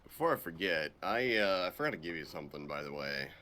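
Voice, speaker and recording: A man voicing an awkward, deadpan macho young action hero with a halting, casual delivery in a visual novel dub. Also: low-pitched, slight background noise. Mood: awkward, sheepish. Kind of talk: improvised visual novel dubbing.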